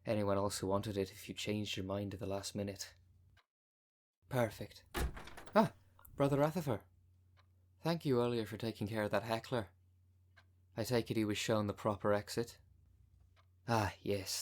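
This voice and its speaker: A man's voice: lowish voice, fairly even intonation, normal speed, long pauses, close to an everyday voice. A man voicing a scheming female cult recruiter in an audio roleplay, speaking with formal, businesslike politeness and dry condescension. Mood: polite, slightly bitter.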